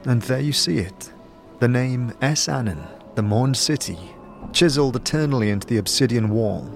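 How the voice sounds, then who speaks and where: A man narrating a fantasy audio drama in the second person, with a measured, descriptive storytelling delivery. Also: medium pitch. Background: background music.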